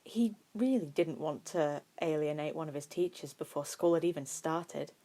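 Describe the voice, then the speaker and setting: A woman narrates fiction with a low-pitched voice. Her delivery is expressive scripted storytelling in the manner of an amateur audiobook. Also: moderate pace.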